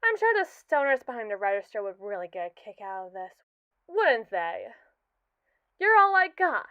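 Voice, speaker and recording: A young woman voicing a haughty, scheming captive robot AI in a scripted roleplay, speaking in an arch, mocking and wordy way with slow, drawn-out emphasis. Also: a little high, sing-song, smooth, dry recording, highs cut off. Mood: sardonic. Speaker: ASMR roleplay performer. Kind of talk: scripted ASMR roleplay monologue.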